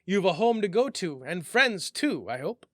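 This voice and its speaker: A man's voice: big pitch swings, moderate pace, no echo, studio quality. A man voicing a thin, shabby old man in a storybook, shrewd but kindly, crotchety and wry. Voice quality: shrill, whistling through front teeth. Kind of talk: storybook reading.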